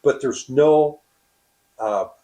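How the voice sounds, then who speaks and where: Halting, deliberate man being interviewed. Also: medium pitch, mellow. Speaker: radio operator being interviewed. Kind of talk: interview explanation and anecdote.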